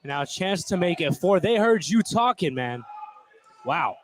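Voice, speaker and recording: Male color analyst, a bit high in pitch, informal and conversational courtside basketball commentary. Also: sing-song, a little slow. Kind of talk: casual live basketball analysis. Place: courtside in a school gym.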